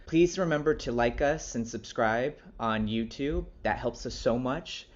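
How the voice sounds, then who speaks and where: A man's voice: mid-range voice, unhurried, lo-fi sound. A man hosting a casual interview, asking questions and addressing the audience in a conversational way. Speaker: interviewer, show host.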